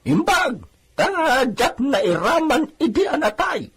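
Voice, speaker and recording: A man playing a boastful, excitable old village man spinning war tales in an Ilocano comedy radio drama. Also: extremely high pitch, slow pace, exaggerated voice. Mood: boastful, excited.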